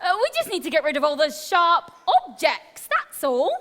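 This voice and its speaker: A woman's voice: high voice, big pitch swings, normal speed, pushed and bright, heavily acted. A woman playing an anxious, flustered queen in a pantomime, overwrought and melodramatic. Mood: nervous reassurance. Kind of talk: broad pantomime stage acting. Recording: echoey hall, noisy live stage recording.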